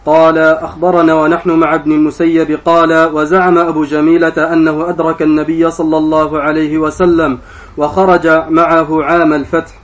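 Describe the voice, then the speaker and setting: A man reading classical religious text aloud as a student reciter, formal, measured and drawn out. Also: a little high, flat delivery. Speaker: student reciter of hadith texts. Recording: dull, muffled sound.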